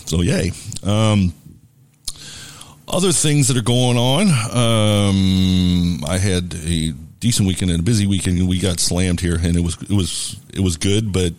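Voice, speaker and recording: A man with a deep, booming voice hosting a casual live radio show from a bar, rambling easily with lots of fillers. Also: measured pace, dry recording. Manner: relaxed, rambling with many fillers. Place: bar and restaurant.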